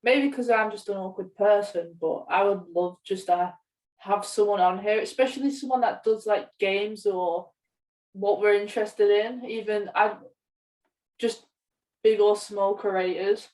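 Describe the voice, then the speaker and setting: Female podcast co-host, mid-pitched, slightly off-mic, casual, hesitant, self-deprecating delivery. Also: a little slow, darkish tone. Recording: voice distant from the mic.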